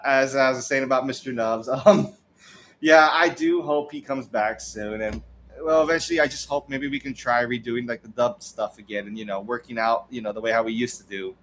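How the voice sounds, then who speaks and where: A man's voice: mid-range voice, fairly bright tone. A young man chatting casually on a livestream, rambling with lots of restarts and frequent laughs. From United States. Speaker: streamer.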